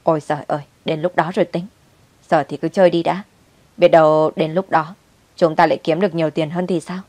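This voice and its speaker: A woman's voice: low voice, level tone, fairly quick, mellow, no echo. A woman voicing a carefree, sweet-talking young boyfriend in a story, with a coaxing manner. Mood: coaxing, gleeful.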